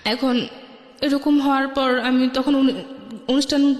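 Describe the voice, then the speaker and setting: Woman, high voice, Bangladeshi accent, colloquial personal storytelling, quotes conversations, long reverb. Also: flat delivery, a little slow. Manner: recounts conversations by quoting both sides. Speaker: guest telling her life story.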